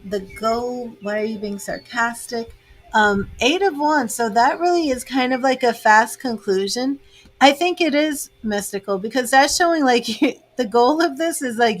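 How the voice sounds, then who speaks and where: An American woman in her fifties hosting a live tarot reading, chatty and casual, laughing often and filling pauses with "um". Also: a little high, low-quality recording. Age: 50s. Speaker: tarot reader hosting a livestream. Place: home with grandchildren and birds in earshot.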